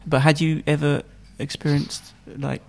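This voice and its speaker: A man interviewing a guest, curious and prompting, sometimes halting mid-question. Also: fairly high pitch, level tone, creaky.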